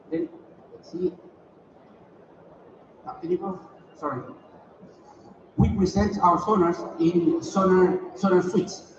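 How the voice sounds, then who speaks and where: Chilean man, halting conference presenter; distant echoey hall, noisy dull audio. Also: high-pitched, a little mellow. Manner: hesitant, repeats words while explaining slides. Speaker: sonar industry presenter.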